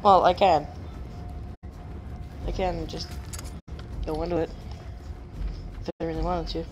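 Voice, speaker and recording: A woman with a deep voice, chatting casually as she plays a game on stream, joking at herself and humming while she searches. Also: flat delivery, measured pace, darkish tone, highs cut off, slight background noise. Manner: chatty, self-mocking, giggly, hums while searching. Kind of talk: casual gameplay commentary.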